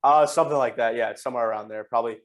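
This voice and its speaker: A young man hosting a laid-back podcast interview. He talks quickly at a mid pitch and often restarts his sentences with a filler "uh." He keeps the conversation moving with a friendly manner. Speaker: podcast host.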